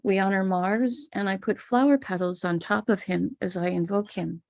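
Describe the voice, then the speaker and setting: An American woman teaching a ritual on a live stream, calmly explaining each step. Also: mid-range voice, normal speed, gravelly. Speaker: spiritual teacher leading a ritual. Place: home room with a small altar table.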